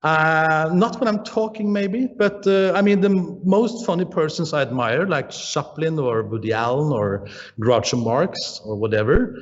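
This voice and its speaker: A man with a Danish accent, a painter answering interview questions in English, pausing often and searching for his words. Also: fairly high pitch. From Denmark. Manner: halting, searching for words, frequent "uh" and restarts. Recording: dull, roomy sound with long reverb.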